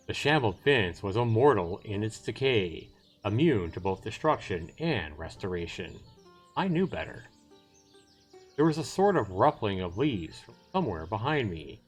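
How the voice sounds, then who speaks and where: A man with a fairly low voice hosts a game livestream. He reads story text aloud and chats casually about it, thinking out loud. Soft music plays behind him. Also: husky. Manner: chatty, thinks aloud between read passages. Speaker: livestream host. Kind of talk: reading a visual novel aloud with casual commentary.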